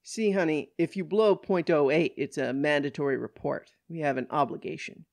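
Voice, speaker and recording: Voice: mid-range voice, moderate pace, creaky, a little mellow, no room sound, close to an everyday voice. A narrator voicing a folksy older male police officer who admonishes and explains in a paternal way, in an audiobook story. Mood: explaining.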